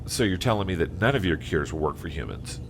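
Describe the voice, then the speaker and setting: Casting: devoted husband male actor, very low voice, subdued and gentle, radio drama over loud background noise. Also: expressive, quick pace, gravelly. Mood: resigned. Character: tender, resigned husband of an ailing wife. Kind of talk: audio drama acting and first-person narration. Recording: loud background ambience, vehicle rumble.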